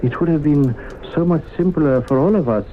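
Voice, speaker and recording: An actor playing a fussy, proud traveling professor with formal, hesitant, indignant speech in an old radio drama. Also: male voice, on the high side, moderate pace, natural voice. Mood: flustered, evasive. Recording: tinny, noisy old radio broadcast.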